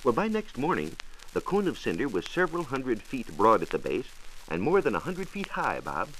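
A man's voice: mid-range voice, wildly swooping pitch, moderate pace, fairly bright tone. An American man, a science reporter narrating a scripted 1940s radio programme in a formal, precise, emphatic way. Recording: faintly noisy 1940s radio transcription disc.

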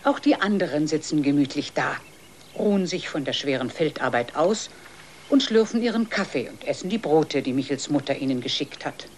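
A woman's voice: deep voice, animated, normal speed, a little mellow, a little hiss or hum. A woman narrator telling a scripted children's story.

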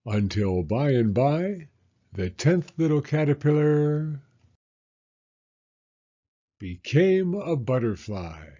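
A man in his sixties reading a children's picture book aloud in a measured storytelling manner. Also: fairly high pitch, very animated, dark tone, lo-fi sound. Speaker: story reader and host, elementary school teacher.

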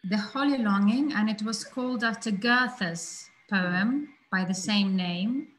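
Woman, poet and yoga teacher, reflective and unhurried, soft hesitations, video call audio. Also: mid-range voice, poor audio. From Ukraine. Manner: thoughtful, hesitant, laughs lightly. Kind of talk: casual interview talk. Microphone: video call mic.

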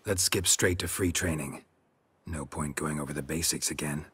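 An actor playing a stoic, brooding male monster hunter in a video game, terse and understated, with a husky, raspy voice. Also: moderate pace, a bit bright, heavily acted. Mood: dry, matter-of-fact. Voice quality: very low, husky rasp. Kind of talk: video game dialogue acting.

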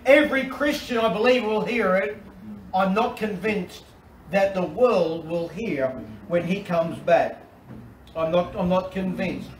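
Australian pastor preaching from afar. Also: male voice, high-pitched, measured pace, a little hiss or hum. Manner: animated preaching with repetitions and restarts. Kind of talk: sermon. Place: covered outdoor deck. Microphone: far camera. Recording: roomy and distant with faint ambience.

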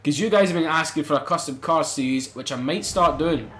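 A young man commentating a racing game let's play, excitable and swearing, bursting into loud exclamations. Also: fairly high pitch, fairly quick. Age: young adult. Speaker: gaming channel host.

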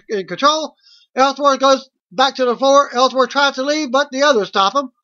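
A middle-aged man reading out a wrestling results recap as host, in a halting way, on a webcam mic at home. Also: very high-pitched, sharp tone. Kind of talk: read-out play-by-play results recap. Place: small room at home, indoors. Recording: dull lo-fi webcam audio.

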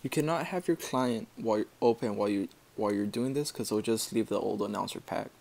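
A man giving a casual screen-recorded software tutorial, explaining step by step in an informal, halting way. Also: fairly low pitch, gravelly. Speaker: tutorial maker.

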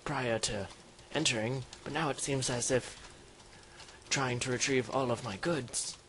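A man voicing a pompous, polite, easily flustered British gentleman explorer, speaking formally and repeating himself in improvised video game commentary. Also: medium pitch, unhurried, a little hiss or hum, close to an everyday voice. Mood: pompous, genteel. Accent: put-on British. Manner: pompous, formal, repetitive phrasing.

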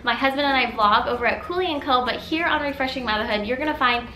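Upbeat young mom vlogger, voice a bit scratchy, bright and fairly high, roomy living room with soft music behind. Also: female voice, fast talker. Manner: upbeat, chatty, encouraging. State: slightly scratchy from a cold. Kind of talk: casual DIY tutorial.

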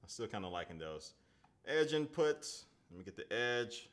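A man's voice: mid-range voice, big pitch swings, normal speed, fairly bright tone. A man in his thirties hosting a casual live-stream phone unboxing, chatting loosely with viewers and reading their comments aloud. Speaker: tech reviewer. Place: indoor home studio at a desk.

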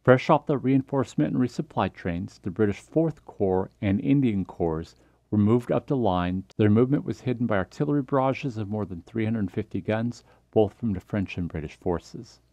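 Low-pitched man narrating military history. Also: lively intonation, normal speed, mellow, no room sound, highs cut off. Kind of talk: scripted history documentary narration.

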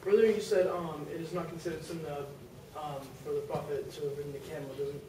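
A man's voice: fairly high pitch, fairly even intonation, a little fast, mellow, slight background noise. Hesitant man asking from the audience. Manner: hesitant, questioning. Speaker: student asking questions. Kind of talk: audience question. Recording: echoey room, far from the camera.

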